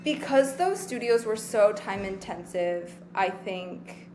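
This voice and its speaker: A person in their twenties from Taiwan, chatting casually as an interview guest, relaxed and rambling with lots of fillers. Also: female voice, mid-range voice, slow, a little hiss or hum. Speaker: guest actor.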